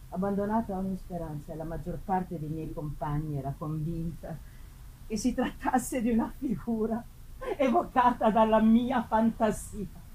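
A woman giving an intense, halting dramatic reading of a gothic novel, repeating words for effect and swinging between hushed and loud delivery. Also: mid-range voice, rough recording. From Italy. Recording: live festival recording with faint noise. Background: live musical accompaniment.

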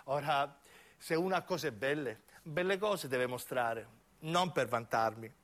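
A man's voice: medium pitch, a little slow, fairly bright tone, lightly acted. A man voicing a vain, motherly old woman with a southern Italian accent, telling folksy, boastful stories on stage. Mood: proud, coquettish.

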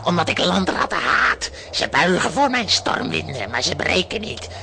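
A man voicing a hateful, boastful villain of sea and storm with a gravelly, menacing voice in a children's audio drama. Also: high-pitched, sing-song, normal speed, noisy background, exaggerated voice. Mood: gloating, furious.

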